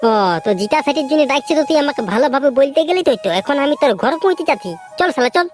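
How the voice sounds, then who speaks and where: A man voicing an irritable, foul-mouthed young village man in a comic cartoon, with a Purulia Bengali accent and a pitched-up voice. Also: animated, rapid-fire. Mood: brisk. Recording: faint background music.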